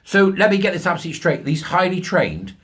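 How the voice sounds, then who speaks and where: A middle-aged British man giving sarcastic, incredulous, colloquial commentary on a news article in a husky voice. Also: sits high, sing-song, quick pace, fairly bright tone. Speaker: commentary show host.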